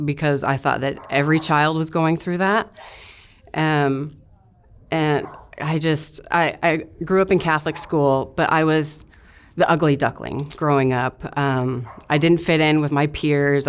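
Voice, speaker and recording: Low smooth-voiced woman from Idaho. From Idaho, USA. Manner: plain, matter-of-fact, with filler words. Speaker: interviewee. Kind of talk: candid interview recounting her past.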